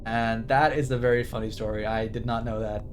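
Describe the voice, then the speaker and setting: A man's voice: mid-range voice, fairly even intonation, a little fast, noisy background. A man streaming a game, giving casual live commentary in a rambling, repetitive way. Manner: rambling, repetitive, sweary reactions. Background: game music.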